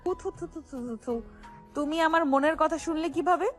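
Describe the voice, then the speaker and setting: A woman's voice: sits high, normal speed, faint music behind, lightly acted. A woman playing a feisty, indignant young wife in an audio romance story, scolding and exclaiming in slangy, dramatic lines. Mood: flustered, defiant.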